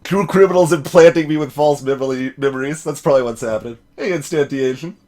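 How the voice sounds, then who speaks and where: A man's voice: a little high, quick pace, a little mellow. A man streaming a voiced game playthrough, chatting casually with his viewers over game music. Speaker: streamer doing a voiced playthrough of a visual novel. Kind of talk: casual live stream chat. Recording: music playing behind the voice.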